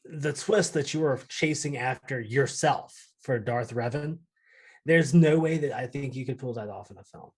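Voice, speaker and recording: A man's voice: mid-range voice, normal speed, darkish tone, breathy edge. A man in a casual panel chat, giving blunt, sweary opinions. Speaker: podcast panelist.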